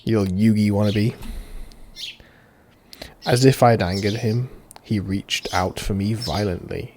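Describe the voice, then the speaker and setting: A man's voice: fairly low pitch, fairly even intonation, measured pace, background noise. A man reading a visual novel aloud as a let's player, with informal, cheeky, sweary asides. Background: game music.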